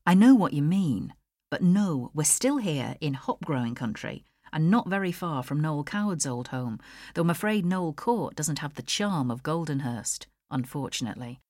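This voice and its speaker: A woman's voice: sits low, lively intonation, normal speed, mellow, no room sound. Woman reader as poised career lady, polite n practical, audiobook, dry sound. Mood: wry, chatty.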